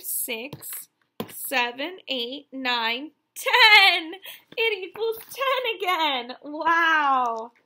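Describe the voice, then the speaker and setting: Female teacher, 30s, very high bright voice, animated picture-book read-aloud for little kids. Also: slight background noise. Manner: animated, exaggerated wonder, addressing young children with questions. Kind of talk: children's read-aloud counting lesson.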